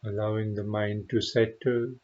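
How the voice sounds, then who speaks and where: A man leading a guided meditation as a teacher, speaking gently and unhurriedly with frequent pauses and a husky voice. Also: fairly low pitch, flat delivery, dull audio. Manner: gentle, unhurried, pausing often.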